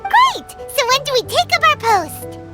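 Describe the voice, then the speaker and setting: A woman voicing a tiny, chirpy, childlike fairy companion with a squeaky voice in a video game. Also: sing-song, measured pace, loud music behind the voice, full character voice. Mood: eager, curious. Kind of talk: English video game cutscene voice acting.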